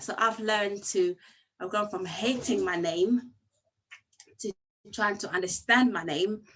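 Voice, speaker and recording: A woman's voice: lowish voice, poor audio. A woman who grew up in Congo with Lingala as her first language, casually telling her own story on a video call, with many restarts. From Congo and Angola. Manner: warm, rambling, with many restarts. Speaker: speaker sharing her story with a group. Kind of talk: casual personal talk.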